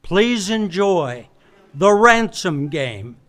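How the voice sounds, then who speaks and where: Slow, high-voiced male presenter. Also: sing-song, fairly bright tone. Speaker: presenter introducing a live performance. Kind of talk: scripted stage introduction.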